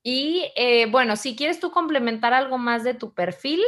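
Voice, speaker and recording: A woman co-hosting a podcast over a video call. Her voice sits fairly high, and her delivery is upbeat and chatty as she reads an introduction and asks questions. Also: expressive, normal speed, a bit bright. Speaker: podcast co-host. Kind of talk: reading a guest introduction, then interviewing.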